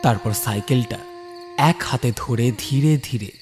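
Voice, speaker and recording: A man's voice: medium pitch, measured pace, background noise. A man giving a dramatic scripted narration as the storyteller of a horror tale. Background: music bed.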